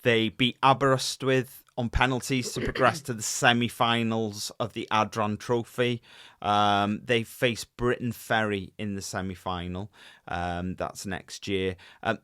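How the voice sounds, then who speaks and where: A man's voice: medium pitch, clean tone, dry recording, studio quality. Chatty man co-hosting a podcast. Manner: chatty, animated, lots of fillers and restarts. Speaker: podcast co-host. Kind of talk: casual podcast chat.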